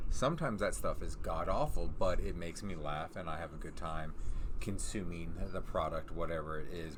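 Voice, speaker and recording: A man's voice: low voice, background noise. A man hosting a casual, unscripted countdown video at home, rambling and correcting himself as he goes. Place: room at home.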